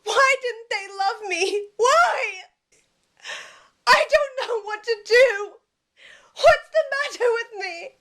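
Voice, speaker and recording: A woman's voice: very high voice, slow pace, fairly bright tone, full character voice. Woman acting a wounded, earnest young woman. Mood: sobbing, desperate. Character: wounded, emotional young woman rejected by her family. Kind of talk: audio drama acting.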